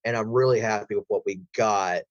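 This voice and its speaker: A man speaking as a podcast guest, telling stories about creative work in a casual interview style with an animated manner. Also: on the low side, a little fast, no room sound, muffled recording. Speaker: podcast guest, voice actor and audio drama creator. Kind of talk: casual interview chat, storytelling.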